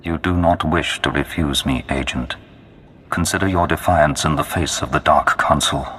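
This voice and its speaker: An actor playing a menacing, zealous Sith lord in a video game, speaking in a grandiose, solemn and imperious way. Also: male voice, extremely low pitch, moderate pace, a bit bright, a little hiss or hum. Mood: threatening. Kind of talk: video game villain acting. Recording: long cavernous reverb, music behind.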